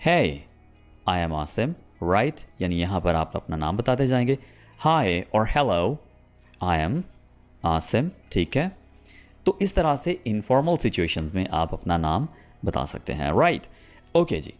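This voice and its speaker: Lively low-voiced male English teacher. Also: soft background music. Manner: explains patiently, slowly repeats example sentences. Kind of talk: spoken English lesson.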